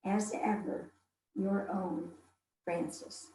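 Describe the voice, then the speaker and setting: A woman plays a devoted young wife of the 19th century, reading her letters in a dramatic style. Her voice is soft-edged and mellow, her phrasing formal and earnest. The room adds a noticeable echo. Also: mid-range voice, steady tone, takes it slowly, a little hiss or hum, lightly acted. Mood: tender, hopeful. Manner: formal, heartfelt, literary delivery.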